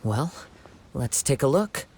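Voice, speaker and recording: A man voicing a calm, perceptive young wandering samurai in a video game, speaking formally and deliberately with grave composure. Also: mid-range voice, a little hiss or hum. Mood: grave, analytical. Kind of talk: video game dialogue acting.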